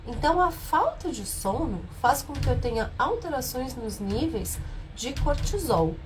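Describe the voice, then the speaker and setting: A woman with a cold hosts a live stream, her voice hoarse and nasal. She keeps it soft and lower than she normally would while she explains and asks her viewers questions. A faint noise sits behind her. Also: sing-song, measured pace. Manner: speaks softer and lower than usual on purpose. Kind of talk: informal health talk on a live stream.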